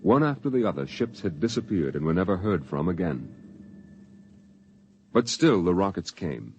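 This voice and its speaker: Male narrator, bass register, solemn vintage radio drama storytelling, slightly muffled old recording. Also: sing-song, normal speed, a little mellow, a little hiss or hum.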